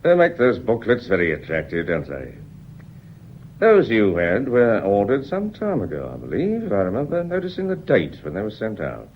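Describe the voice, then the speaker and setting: An actor playing a British police inspector in a radio drama, deceptively polite, sly and probing, with a deferential, falsely casual manner. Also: male voice, on the low side, wildly swooping pitch, moderate pace, highs cut off, slight background noise. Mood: probing, mock casual.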